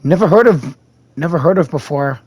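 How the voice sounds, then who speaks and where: A man's voice: a little high, expressive, normal speed, darkish tone, husky, no echo, rough recording. A man playing a video game, reading its dialogue aloud and commenting along.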